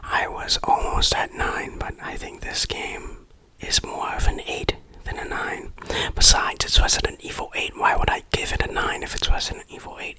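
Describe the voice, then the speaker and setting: An ASMR host reviewing in a breathy, unvoiced whisper, with a playful, dryly self-mocking manner. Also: bright, forceful tone, poor audio.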